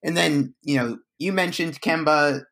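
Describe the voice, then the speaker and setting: A man in his late twenties giving measured, casual takes as a panelist. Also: fairly high pitch, steady tone, creaky, a bit bright. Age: 20s. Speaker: podcast panelist.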